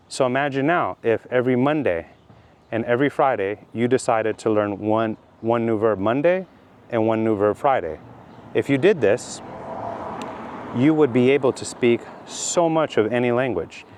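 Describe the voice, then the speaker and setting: A middle-aged man from California with a Californian accent, teaching slowly and deliberately for language learners, repeating his key points. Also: mid-range voice, darkish tone, slight background noise. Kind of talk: slow explanatory monologue for learners.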